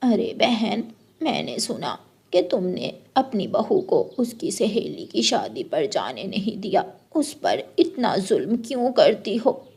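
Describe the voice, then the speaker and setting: A woman's voice: mid-range voice, big pitch swings, slow pace, gravelly, a little mellow, slightly rough, full character voice. A woman voicing a blunt, gossipy older neighbour mother-in-law bird in a children's cartoon, complaining and giving reproachful advice. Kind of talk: Hindi children's cartoon story voice acting.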